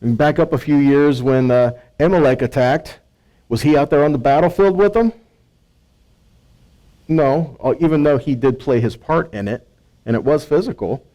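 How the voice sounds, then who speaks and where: A man's voice: medium pitch, animated, normal speed, warm tone, poor audio. An American man preaching in a conversational teaching style, often posing rhetorical questions and checking in with his listeners. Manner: conversational, rhetorical questions, frequent check-in tags like right and okay. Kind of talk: sermon-like Bible teaching, reading verses and explaining.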